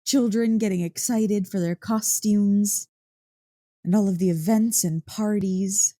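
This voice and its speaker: A woman playing an excitable, playful dog-girl girlfriend in an ASMR roleplay, gushing and teasing with mock indignation. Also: mid-range voice, unhurried, dry recording, clean studio sound, natural voice. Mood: dreamy, gushing. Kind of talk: ASMR roleplay, one-sided conversation.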